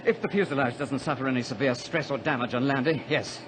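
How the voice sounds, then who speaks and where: A man playing a capable small-plane pilot who fights to stay calm, in a radio adventure drama. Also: mid-range voice, fast talker, close to an everyday voice. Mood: controlled, reassuring. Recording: noisy vintage radio drama recording.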